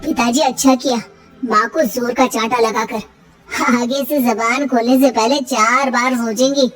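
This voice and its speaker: A woman's voice: a little high, fairly even intonation, measured pace, faint music behind, lightly acted. A woman voicing an arrogant, short-tempered young husband in a cartoon story, scolding with contempt. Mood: contemptuous.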